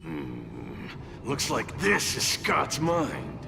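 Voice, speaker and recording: An actor playing a mischievous, mocking onlooker in a video game, with a sardonic, theatrical, gloating delivery. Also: male voice, medium pitch, wildly swooping pitch, extremely slow, gravelly, pushed and bright, a little hiss or hum, exaggerated voice. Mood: sardonic, musing. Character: mischievous, mocking intruder commenting inside someone's mind.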